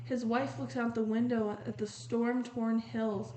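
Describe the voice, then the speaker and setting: A woman reading a picture book aloud in a measured, descriptive storytelling manner, pausing to comment on the illustrations. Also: a little high, little pitch movement, mellow. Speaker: read-aloud host. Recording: roomy echo with faint noise.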